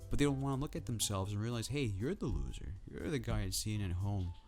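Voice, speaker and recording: A man ranting casually to camera about football in a soft, calm, drowsy way. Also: on the low side, fast talker, soft background music. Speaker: football vlogger.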